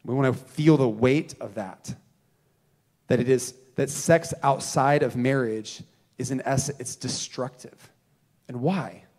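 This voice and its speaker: Pastor in his thirties, earnest preaching, swings loud to hushed, average pitch, live on a church stage. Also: measured pace. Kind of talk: sermon. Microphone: handheld mic.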